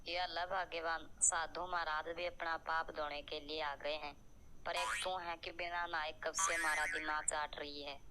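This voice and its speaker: A man's voice: on the high side, little pitch movement, normal speed, background noise, lightly acted. A man voicing a sarcastic yet cowed husband bird in a cartoon. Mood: sarcastic nagging. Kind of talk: Hindi cartoon character acting.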